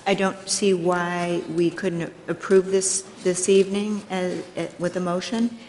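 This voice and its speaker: A middle-aged woman discusses board business in a somewhat low voice. Her pitch hardly moves. The hall adds long reverb. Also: flat delivery, a little slow, darkish tone. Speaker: school board member. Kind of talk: meeting discussion. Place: indoor council chamber. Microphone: gooseneck microphone on dais. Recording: reverberant meeting hall, faint noise.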